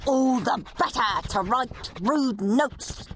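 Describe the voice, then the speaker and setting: A woman's voice: on the high side, lively intonation, slow, faint music behind. A British woman voicing a naughty boy who gleefully fakes his little brother's diary, mocking and drawing out the words, in a children's audiobook. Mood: mischievous. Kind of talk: children's audiobook character reading.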